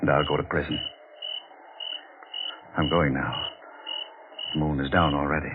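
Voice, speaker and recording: An actor in a 1940s radio horror play, playing a bitter, guilt-laden lover with a grave, clipped dramatic delivery. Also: male voice, a bass voice, steady tone, unhurried. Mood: grim resolve. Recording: tinny old radio recording, faint noise.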